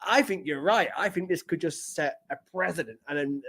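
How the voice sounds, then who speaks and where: A man's voice: a little high, moderate pace, a bit bright. A man from Britain hosting a casual podcast interview, enthusiastic, often restarting phrases. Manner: enthusiastic, repeats words, laughs often. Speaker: podcast host.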